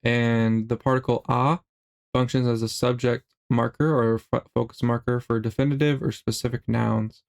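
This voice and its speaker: A middle-aged man narrating a slideshow language lesson in a relaxed way, with many fillers and restarts. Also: medium pitch, normal speed, vocal fry. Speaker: language teacher.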